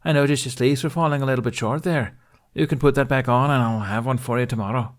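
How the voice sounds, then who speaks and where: A wary village widow, played by a male narrator in an audiobook. She is curt and no-nonsense, speaking in clipped folksy phrases at a pitch lifted well up. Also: steady tone, fast talker, natural voice. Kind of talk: audiobook character voice.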